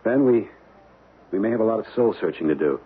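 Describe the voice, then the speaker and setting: An actor playing an uneasy young American husband in an old-time radio drama, speaking in a husky, hesitant, colloquial way. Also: male voice, on the low side, expressive, brisk. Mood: worried, reluctant. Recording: muffled, band-limited old radio broadcast.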